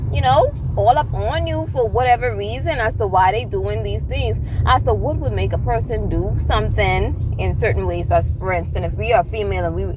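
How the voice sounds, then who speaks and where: A young woman with a Bahamian accent talks at an ordinary pitch, repeating her points with emphasis, like a preacher. She records on her phone while riding on the road. Loud car and traffic noise runs under her voice the whole time. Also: normal speed, few pauses. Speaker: vlogger. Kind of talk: casual advice monologue. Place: in a vehicle on the road.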